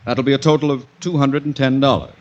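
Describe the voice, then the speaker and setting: A man plays a mild, deferential American shop clerk in an old-time radio comedy. His medium-pitched voice is formal and a little hesitant, never ruffled. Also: steady tone, fast talker, lo-fi sound, close to an everyday voice. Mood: politely salesmanlike. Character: polite, unflappable male store clerk. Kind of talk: 1940s radio comedy acting.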